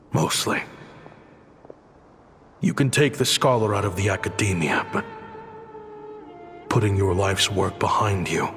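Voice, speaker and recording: Wistful low-voiced exiled scholar, reverberant. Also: male voice, a bit bright. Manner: reflective, measured storytelling. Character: former scholar turned desert inventor, wistful and regretful. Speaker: story narrator and character. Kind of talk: scripted video game narration and dialogue. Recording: long reverb, music behind. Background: music.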